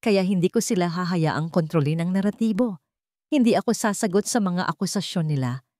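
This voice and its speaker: A woman voicing a wounded but resolute young heiress in a story, speaking in measured, firm, quietly defiant declarations. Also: lowish voice, dry recording, studio quality, natural voice. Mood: determined.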